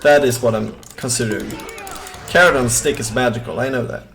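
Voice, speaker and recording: A man's voice: mid-range voice, brisk, creaky, a bit bright, soft background music. A young man from southern Sweden with a Swedish accent, a game streamer chatting casually and rambling with lots of fillers while he plays. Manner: rambling, many fillers and restarts, easygoing. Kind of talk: casual chat while gaming and reading chat.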